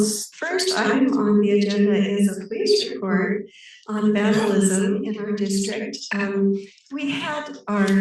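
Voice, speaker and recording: A woman with a hoarse, failing voice, haltingly and appreciatively introducing an informal public meeting as its host. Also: mid-range voice, a little mellow. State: hoarse, losing her voice. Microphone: room microphone with ceiling pickup. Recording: echoey room PA, distant, faint noise.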